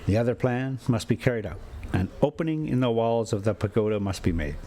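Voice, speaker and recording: A man's voice: mid-range voice, a little fast, warm tone. A man with a folksy manner, hosting a read-aloud story time in a casual, homespun way. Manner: folksy, rambling, chuckling self-commentary. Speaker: storyteller and host reading a classic novel.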